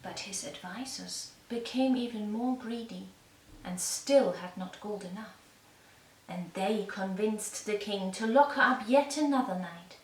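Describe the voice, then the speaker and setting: A woman storyteller narrating a fairy tale for young children with measured, deliberate phrasing. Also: medium pitch. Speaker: storyteller and puppeteer. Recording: echoey room, distant mic, faint noise.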